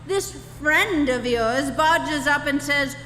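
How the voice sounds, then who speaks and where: A woman playing a disgruntled, put-upon Earthman in a live stage radio play, dry and aggrieved in a deliberate delivery. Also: sits high, pushed and bright, slight background noise, full character voice. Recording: echoey hall, music behind.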